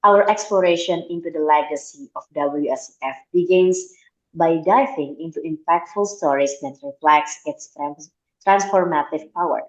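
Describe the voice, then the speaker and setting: A woman hosting an online event, speaking hesitantly with many filler pauses over a video call. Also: low-pitched. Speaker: event host. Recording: roomy, muffled video call audio.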